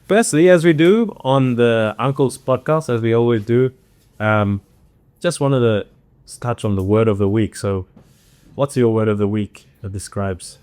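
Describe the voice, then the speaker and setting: A man's voice: medium pitch, a little slow, darkish tone, smooth, dry recording. A man hosting a podcast, friendly and affirming, with casual slang. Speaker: podcast host. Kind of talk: casual interview chat.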